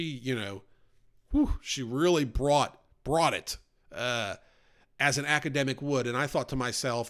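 A man teaching scripture in a relaxed, off-the-cuff way, as if on a podcast. His voice sits at an ordinary middle pitch and climbs when he stresses a point. He stays earnest throughout. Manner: earnest and conversational, rises in pitch for emphasis. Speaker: Bible study host. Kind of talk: informal Bible teaching.

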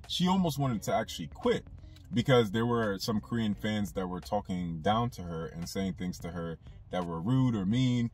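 A man's voice: on the low side, a little mellow, breathy edge, recorded from a distance. A young man talking casually to camera from inside a car, rambling with lots of fillers. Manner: loose, rambling, with many fillers. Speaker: YouTube commentator. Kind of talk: casual commentary vlog.

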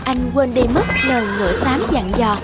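High-pitched woman narrating a fable. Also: expressive, moderate pace. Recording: loud background music. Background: loud music.

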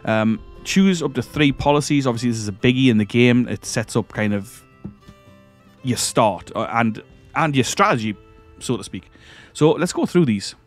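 A man with a cold hosting a strategy game let's-play, his voice croaky as he rambles and corrects himself while clicking through menus. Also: mid-range voice, lively intonation, moderate pace, faint music behind. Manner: rambling, hesitant, self-correcting. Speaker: gaming channel host. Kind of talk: casual let's-play commentary.

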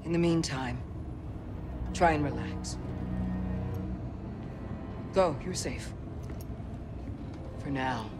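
An actor voices a commanding man aboard a starship in a cinematic game. He is grave and very unhurried, a touch high in pitch. Music runs behind him. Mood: grave, calm. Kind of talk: cinematic video game dialogue.

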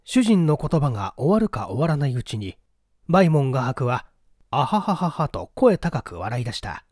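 A man's voice: medium pitch, sing-song, normal speed, a little mellow, no room sound, studio quality. A man reading a mystery novel aloud as dramatic literary narration. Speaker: audiobook reader.

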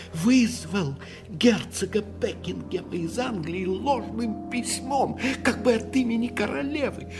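An obsequious, anxious tradesman landlord in a radio play. He pleads and hesitates in a very lively, swinging voice, faint music behind him. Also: a little high. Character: anxious, fawning French landlord, a tradesman husband.

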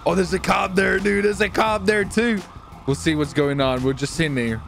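A man doing excited, theatrical gaming roleplay, playfully repeating phrases in quick bursts. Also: sits high, vocal fry, soft background music. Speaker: streamer playing a clone gang leader. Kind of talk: excited game roleplay commentary.

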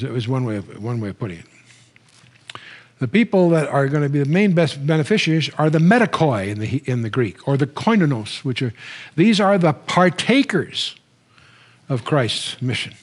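An older man teaching a Bible lecture from a lectern, conversational and wry, with frequent restarts. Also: mid-range voice. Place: studio stage, standing at a lectern.

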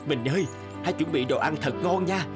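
Male actor as poor, patient guitarist-fisherman in a cartoon fairy tale; exclamatory, slightly high voice over music. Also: a little fast, natural voice. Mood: content.

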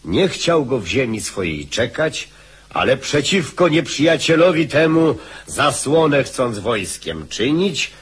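Stately chronicler, radio play: mid-pitched, very husky, slow, formal narration. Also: male voice, sing-song, exaggerated voice. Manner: formal, measured. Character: formal, stately chronicler reading an official account.

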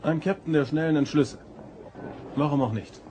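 An actor playing a confident, polite starship first officer in a German audio drama. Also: male voice, a little high, moderate pace, mellow, slight background noise, close to an everyday voice. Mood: polite, assured.

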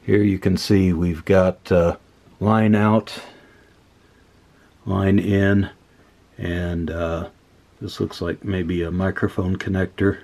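Deep-voiced man demoing gear. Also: little pitch movement, darkish tone. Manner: unhurried, thinking aloud with many fillers. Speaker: electronics hobbyist host. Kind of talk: casual hands-on demonstration. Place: workbench room indoors.

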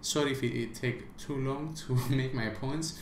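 Man, non-native English speaker, gaming vlogger, emphatic and halting, mid-pitched, roomy echo. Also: slight background noise. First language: not English. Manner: emphatic, repeats himself, halting restarts. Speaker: small channel gaming vlogger. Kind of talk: opinion rant to camera.

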